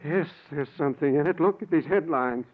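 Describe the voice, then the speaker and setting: An actor playing an anxious, conscience-stricken scientist with an earnest, grave manner in 1940s radio drama style. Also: male voice, fairly high pitch, fairly quick, narrow, tinny audio, close to an everyday voice. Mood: horrified. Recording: noisy, band-limited 1940s radio recording.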